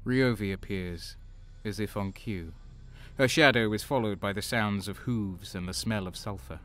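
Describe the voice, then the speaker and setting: An actor playing a grim, battle-worn traitor soldier, narrating in terse, intense first person for an audio drama. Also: male voice, lowish voice, normal speed, a bit bright, close to an everyday voice. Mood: ominous.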